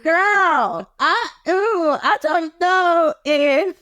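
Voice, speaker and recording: A woman co-hosting a podcast in casual chat, animated and quick to laugh, with dramatic asides. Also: extremely high pitch, no room sound. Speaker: podcast co-host.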